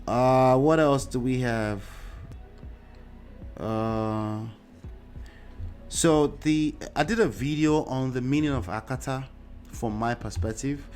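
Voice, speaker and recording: A man's voice: medium pitch, slow, long pauses, a little mellow, soft background music. A Nigerian man whose first language is Yoruba hosts a livestream and talks casually in a Nigerian accent, often using "uh" and "right?". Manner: conversational, with frequent "uh" and tag questions like "right?". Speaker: show host. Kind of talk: livestream commentary reading viewer comments.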